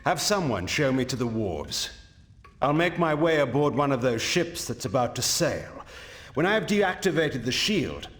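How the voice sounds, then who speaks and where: A proud, self-assured vampire antihero from a fantasy game. His mid-pitched voice swings with theatrical, lively intonation. He is cold and haughty, clipping his formal phrases. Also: normal speed.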